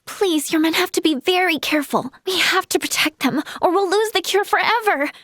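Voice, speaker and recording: A woman voicing a cheerful, earnest young female healer in a fantasy video game, bubbly and expressive. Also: very high voice, fairly quick, no room sound, studio quality. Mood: urgent, pleading. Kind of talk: animated fantasy game character acting.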